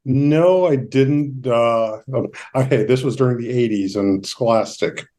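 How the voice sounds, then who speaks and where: A man's voice: medium pitch, measured pace, warm tone. A man hosting a podcast, introducing and interviewing a guest, stumbling a little over his words as he reads. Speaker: podcast host.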